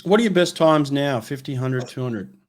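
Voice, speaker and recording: A man's voice: medium pitch, animated, normal speed. A man hosting an interview podcast, talking conversationally and often repeating words as he restarts. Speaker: host.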